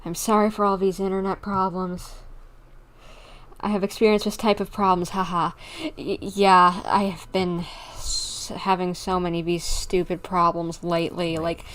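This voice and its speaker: A young woman livestreaming a video game, chatting casually in a halting, rambling, informal way. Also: on the low side, level tone, a bit bright, rough recording.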